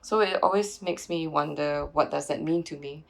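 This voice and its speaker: Low, gravelly woman curator. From Singapore. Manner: halting, many restarts and fillers, reflective. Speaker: curator and PhD researcher. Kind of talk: thoughtful interview answers.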